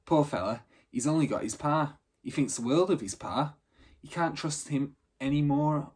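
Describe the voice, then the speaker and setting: A man's voice: on the high side, normal speed, darkish tone, husky, pitched above the performer's own voice. A man voicing a bossy, impatient but loyal young girl from a street gang in a storybook, with slangy scolding.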